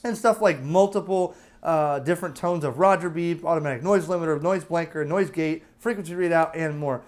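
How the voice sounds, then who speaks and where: American guy doing a casual gear review, bit higher voice, chatty, explains stuff, kinda off the cuff. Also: a little fast. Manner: chatty, explanatory, frequently checks in with "okay?". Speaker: product reviewer. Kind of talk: casual unscripted gadget review and unboxing.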